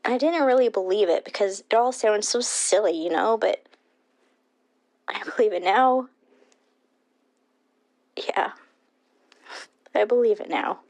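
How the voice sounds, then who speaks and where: Woman playing a mid-pitched, slangy teen girl. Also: unhurried, pauses often, a little mellow, natural voice. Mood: sincere, wistful. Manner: slangy, peppered with "like" and "you know". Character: chatty, sincere teenage girl arcade regular. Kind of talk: interview in an audio drama.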